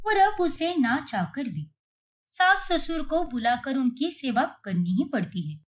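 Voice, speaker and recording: A woman's voice: sits high, very animated, unhurried. A woman reading scripted narration for a moral story as its narrator.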